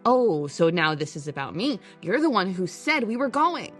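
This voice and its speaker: Casting: dutiful but grumbling young sci-fi mechanic, sarcastic, mid-pitched, over faint music. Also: female voice, very animated, normal speed, a bit bright. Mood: frustrated. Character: grudging, exasperated young woman, dutiful daughter and mechanic. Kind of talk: audio drama acting and narration. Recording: music under the voice.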